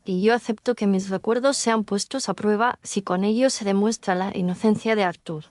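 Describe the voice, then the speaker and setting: A woman's voice: mid-range voice, fairly even intonation, normal speed, darkish tone, no room sound, natural voice. A woman playing an earnest young Victorian fiancée giving her testimony politely and confessionally in an audio drama. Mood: earnest, confessing. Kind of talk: Spanish audio drama mystery acting.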